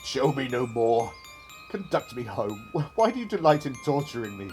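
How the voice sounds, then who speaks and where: Male actor as an old miser, pleading and broken, lively pitch swings. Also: moderate pace, soft background music, natural voice. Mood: anguished. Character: bitter old male miser, shaken and remorseful.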